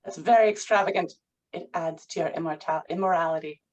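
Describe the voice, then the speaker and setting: A woman's voice: fairly low pitch, a little fast, a little mellow, lo-fi sound, close to an everyday voice. A woman at a screenplay table read playing a sardonic, disapproving lover with dry, arch, pointed delivery. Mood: sardonic, irritated.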